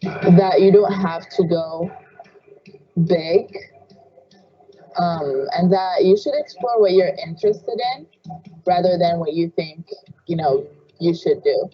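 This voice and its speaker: Lower-voiced teen girl, casual advice, muffled lo-fi clip. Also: slow, long pauses, faint music behind. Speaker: student. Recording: dull lo-fi audio.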